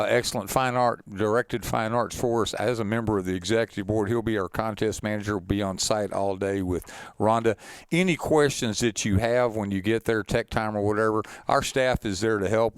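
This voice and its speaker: A man's voice: mid-range voice, clear, resonant tone, no echo. A man co-presenting an information session as an organizer, explaining logistics in a courteous, service-minded way.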